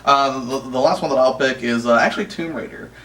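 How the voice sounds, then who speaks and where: A man's voice: mid-range voice, fairly quick, a bit bright. A man co-hosting a video game show, chatting casually about games and movies. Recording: distant mic, faint background noise.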